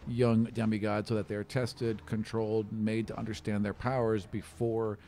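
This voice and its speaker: A man's voice: fairly low pitch, little pitch movement, moderate pace, warm tone, a little hiss or hum. A man working as game master, explaining the game world in a casual, colloquial, conversational way. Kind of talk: casual tabletop game narration.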